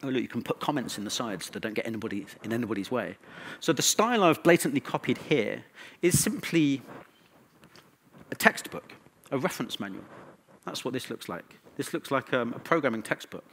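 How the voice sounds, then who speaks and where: A man's voice: medium pitch, animated, fairly quick, fairly bright tone, a little hiss or hum. A man speaking as a conference presenter, informal and conversational, with wry asides. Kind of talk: conference talk.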